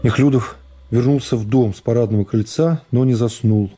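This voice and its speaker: Middle-aged guy reading a classic novel for radio, music behind him, measured dramatic storytelling. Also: medium pitch, dark tone, breathy edge. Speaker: narrator. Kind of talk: literary narration in a radio play.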